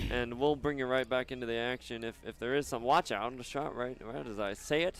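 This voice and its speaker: A man doing live soccer commentary outdoors, chatting casually and haltingly between plays. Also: medium pitch, fairly bright tone, smooth. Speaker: sports play-by-play announcer.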